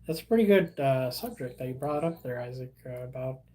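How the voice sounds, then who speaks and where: Young male streamer, Spanish speaker, casual and halting, restarts often, mid pitch. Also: lively intonation, mellow. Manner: rambling, halting, many fillers and restarts. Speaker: livestream host. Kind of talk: casual livestream chat with viewers.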